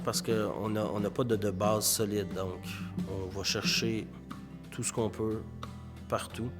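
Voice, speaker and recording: Low-voiced Canadian French man over guitar music. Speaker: school educator. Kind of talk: spontaneous interview answer.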